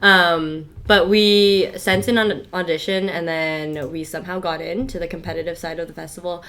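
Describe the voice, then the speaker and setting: A woman's voice: fairly low pitch, fairly even intonation, moderate pace, a bit bright. A young woman chatting as a podcast guest, laughing often and filling her talk with "like". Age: young adult. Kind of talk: casual chat.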